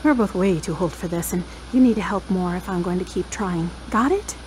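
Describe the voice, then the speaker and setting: A woman's voice: lowish voice, fairly quick, darkish tone, slight background noise, lightly acted. A woman playing a hurt, headstrong young woman in an audio drama, speaking frankly and earnestly. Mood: reproachful, pleading. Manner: frank, searching.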